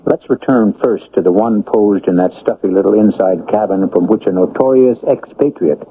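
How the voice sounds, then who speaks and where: A man with an American accent hosting a radio drama, narrating in a measured, ornate and ominous storytelling way. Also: fairly low pitch, slight background noise. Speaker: host and narrator. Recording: tinny old radio broadcast.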